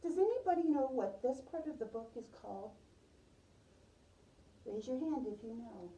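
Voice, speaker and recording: Woman reading to preschoolers, very mellow, roomy sound. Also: a little high, expressive, normal speed. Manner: addresses listeners as young children, repeats their answers. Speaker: preschool teacher reading aloud. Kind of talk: picture-book read-aloud with questions to children. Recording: roomy, distant microphone, muffled top end.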